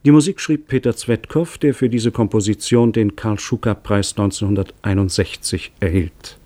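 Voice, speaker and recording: A man's voice: lowish voice, moderate pace, dark tone. A man reading scripted closing credits as a radio announcer.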